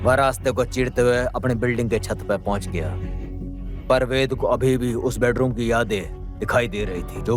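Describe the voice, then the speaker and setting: A man with a Haryanvi accent narrating a scripted audio drama in a dramatic storytelling style. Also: medium pitch. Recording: music under the voice. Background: background music.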